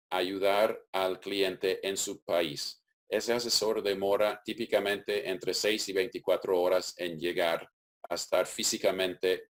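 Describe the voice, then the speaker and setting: Guy, very low voice, calm methodical webinar explainer on a call. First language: English. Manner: methodical, steady explaining with occasional restarts. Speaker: webinar presenter.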